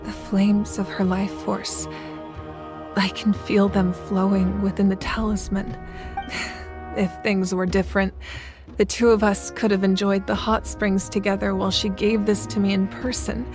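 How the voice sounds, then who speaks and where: An actress plays a dignified female ruler in a fantasy game. Her mid-pitched voice is measured and commanding, with warmth and resolve, over faint music. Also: flat delivery, lightly acted. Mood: wistful. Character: composed, commanding female nation leader, warm and resolute. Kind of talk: anime video game voice acting.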